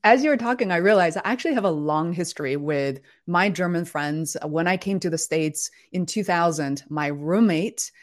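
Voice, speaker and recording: A middle-aged woman hosting a live interview, enthusiastic and a bit halting with fillers. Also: lowish voice. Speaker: host.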